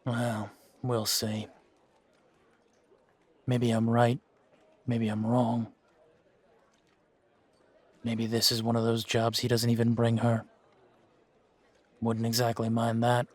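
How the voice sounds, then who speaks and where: Slow-talking, world-weary monster hunter for fantasy audio roleplay, male actor, flat delivery, laconic and musing. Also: medium pitch. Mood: wary, dry. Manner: laconic, musing, measured with pauses. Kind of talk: fan-made roleplay audio drama, unhurried monologue to a companion.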